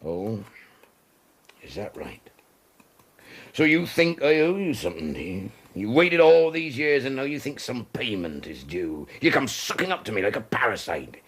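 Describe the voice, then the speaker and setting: A man acting in a radio drama as a brutal, hard-drinking whaling skipper, gravelly, hoarse, threatening and sneering. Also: fairly low pitch, very animated, moderate pace, a bit bright, exaggerated voice. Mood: sneering fury.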